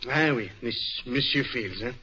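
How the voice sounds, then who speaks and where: An actor playing a fussy, self-important Belgian detective with a French-speaking accent, brisk and courteous, in 1940s radio drama style. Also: male voice, medium pitch, sharp tone, slight background noise. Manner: pompous, brisk, courteous, peppered with French phrases. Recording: muffled 1940s radio broadcast.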